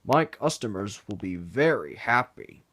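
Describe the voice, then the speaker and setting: A man's voice: lowish voice, big pitch swings, a little slow, a bit bright, slightly rough, exaggerated voice. A man voicing a cheerful, grateful village shop owner in a storybook reading.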